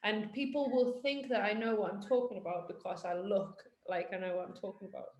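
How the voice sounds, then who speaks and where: A woman's voice: medium pitch, steady tone, a little fast, highs cut off. A British woman hosting a casual fitness podcast, chatty and joking in a self-mocking way. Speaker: podcast host, personal trainer. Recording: roomy echo.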